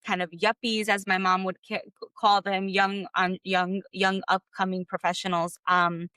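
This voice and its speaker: A woman from the United States who grew up speaking Spanish, a guest telling her career story in a relaxed interview, full of fillers and restarts. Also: medium pitch, normal speed, smooth, dry recording. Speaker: guest, clarity coach. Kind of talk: conversational interview.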